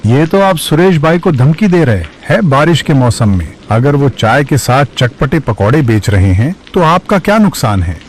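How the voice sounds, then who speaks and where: Guy acting loyal buddy in a cartoon tale, argues back, very animated, bit of background noise. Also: medium pitch, normal speed, hardly any pauses, close to an everyday voice. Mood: challenging. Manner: questioning, argumentative. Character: loyal young man standing up for his friend.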